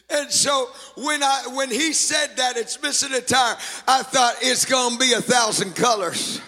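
A male pastor whose first language is Spanish, preaching with shouting, sing-song phrases and calls to the congregation. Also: very high-pitched, slow pace, big-room sound. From Latin America. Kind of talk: sermon. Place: church sanctuary.

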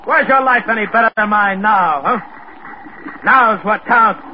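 A man's voice: high-pitched, unhurried, slight background noise. An actor in a 1950s radio drama playing a bitter, envious spaceman facing death, intense and insistent. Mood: desperate, taunting. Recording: dull 1950s radio recording with music.

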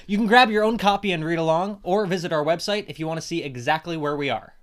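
A man's voice: fairly high pitch, fast, a bit bright. A man co-hosting a podcast as a dramatic reader, in scripted comedic banter.

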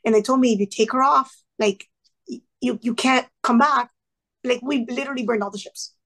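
A woman's voice: a little high, a little fast. A woman in her thirties, a former teacher of Cuban family background, earnestly telling her story in an interview and acting out the people she quotes. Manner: earnest, animated, quoting others in lively asides. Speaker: guest, former classroom teacher. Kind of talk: conversational storytelling.

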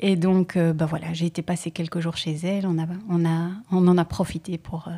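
A woman singer talks through her work in a relaxed interview. Her voice is low and mellow, and she speaks in a thoughtful, hesitant way, often pausing with small fillers as she looks for the right words. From Belgium. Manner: thoughtful, hesitant, many pauses and "euh".

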